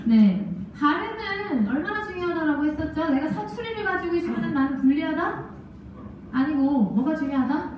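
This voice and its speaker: A woman in her thirties lecturing a class with a handheld microphone, lively and quizzing students, laughing often. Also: extremely high pitch, normal speed, background noise. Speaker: language test instructor. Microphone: handheld mic, far camera. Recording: echoey classroom from far off, dull.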